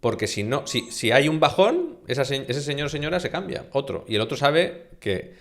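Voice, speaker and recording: A middle-aged man from Spain with a Castilian accent, a university vice-rector speaking as an interview guest, emphatic and animated, often posing rhetorical questions. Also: medium pitch, normal speed. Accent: Castilian Spanish. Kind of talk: conversational interview.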